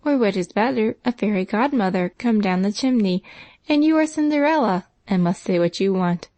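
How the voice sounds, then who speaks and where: A woman voicing an eager, excitable young girl of means who talks fast and earnestly. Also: mid-range voice, no echo, close to an everyday voice.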